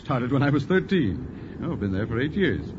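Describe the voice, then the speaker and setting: A man's voice: medium pitch, sing-song, moderate pace, close to an everyday voice. A man playing a cheerful, dreamy young businessman in a 1940s radio drama, with a breezy, eager delivery. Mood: contented. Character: cheerful, dreamy young Boston businessman, about twenty-one. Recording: muffled, noisy 1940s radio recording.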